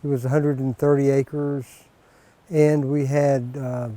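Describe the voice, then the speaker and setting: Older farmer guy from north carolina, talks slow w lots of uhs, real dark warm tone. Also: mid-range voice, level tone, no echo, poor audio. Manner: slow, unhurried, with many pauses and fillers. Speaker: organic vegetable farmer. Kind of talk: documentary interview.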